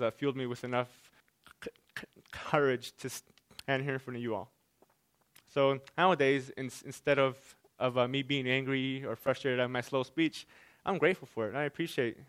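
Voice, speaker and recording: A man's voice: medium pitch, a bit bright, smooth. A man who stutters gives a personal talk to an audience, often repeating sounds and restarting his words. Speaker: speaker giving a stage talk.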